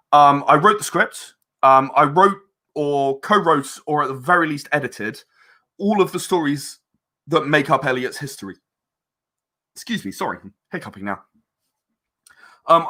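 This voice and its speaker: A man's voice: on the high side, bright, forceful tone. A man being interviewed as an audio drama writer and director, talking conversationally in a reflective, self-deprecating and hesitant way.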